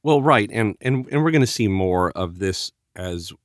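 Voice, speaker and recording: A man co-hosting a podcast review, talking casually in a measured way and often softening phrases with "sort of". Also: on the low side, animated, dry recording, clean studio sound. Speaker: podcast co-host.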